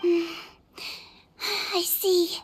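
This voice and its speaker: A woman's voice: extremely high pitch, level tone, drawn-out words, full character voice. A woman playing a small, plucky girl nurse in a video game, sweet but tough. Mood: puzzled.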